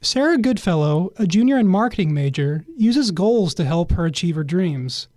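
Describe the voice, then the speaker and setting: High-voiced man reading news. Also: animated, darkish tone. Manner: measured reading. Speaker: news reporter narrating. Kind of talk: scripted news narration.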